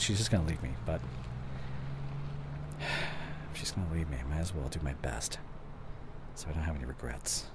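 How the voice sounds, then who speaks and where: A young man playing an anxious, regretful boyfriend in an intimate audio roleplay, speaking haltingly with sighs and whispered words. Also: sits low, noisy background. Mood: panicked regret. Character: regretful, anxious boyfriend tenderly consoling his girlfriend.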